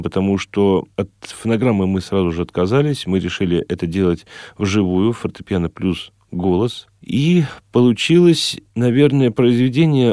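Low, dark-voiced man in an interview. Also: no room sound, clean studio sound. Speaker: interview guest, chamber singer. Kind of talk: reflective interview talk.

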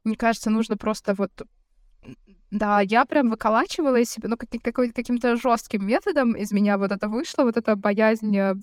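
A woman's voice: on the high side, brisk, no echo, studio quality. A young woman co-hosting a podcast, talking in a reflective, slightly hesitant way. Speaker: podcast co-host. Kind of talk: casual chat.

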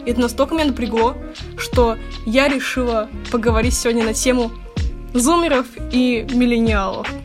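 A young woman in her twenties co-hosts a casual podcast and tells stories in a loose, slangy way. Her voice sits fairly high. Music plays clearly behind her. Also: fairly even intonation, brisk. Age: 20s. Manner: chatty storytelling with slang. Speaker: podcast co-host. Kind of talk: casual chat.

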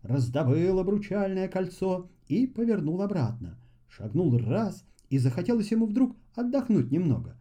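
A man narrating a children's fairy tale in a theatrical, expressive storytelling style. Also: high voice, moderate pace, few pauses. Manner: theatrical, acts out characters' dialogue.

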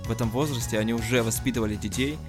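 A man's voice: mid-range voice, steady tone, racing, music in the background. A man in his mid-twenties from Russia co-hosting a podcast, chatting casually in a reflective, colloquial way. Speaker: podcast co-host. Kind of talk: casual chat.

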